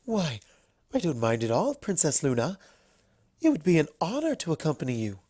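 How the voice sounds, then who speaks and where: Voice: medium pitch, huge pitch swings, normal speed, mellow. A narrator voicing a refined, eager-to-please female unicorn in a storybook reading, with prim, gushing politeness. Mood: delighted. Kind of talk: storybook character voice.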